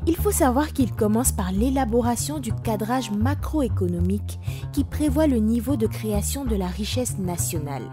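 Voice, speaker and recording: A woman's voice: a little high, animated, moderate pace, music in the background, natural voice. A woman playing a polite, helpful information officer who explains precisely and formally in an educational cartoon. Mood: courteous. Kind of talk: scripted explanation in animated educational film.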